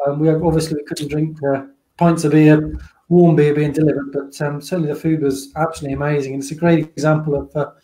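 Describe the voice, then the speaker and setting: A man's voice: fairly high pitch, little pitch movement, fast talker, mellow, rough recording. A British man co-hosting a podcast, chatting casually and telling anecdotes with frequent restarts. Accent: British English. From Britain. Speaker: podcast co-host. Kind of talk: casual conversation.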